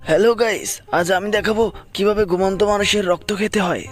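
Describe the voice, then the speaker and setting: A man's voice: high voice, steady tone, fairly quick, close to an everyday voice. A man voicing a cheeky, foul-mouthed cartoon prankster who talks in a crude, brash, boastful way. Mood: upbeat greeting. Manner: crude, brash, swears constantly. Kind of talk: comedic cartoon dubbing. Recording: loud music over the voice. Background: loud music.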